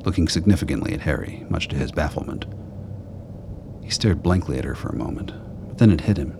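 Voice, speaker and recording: A man's voice: rumbling low, noisy background. A man narrating a radio play as a scripted story in the third person.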